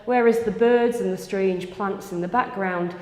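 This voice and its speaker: A woman's voice: mid-range voice, moderate pace. A woman giving a scripted presentation as coordinator of a gallery exhibition, introducing an artwork. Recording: echoey hall with long reverb.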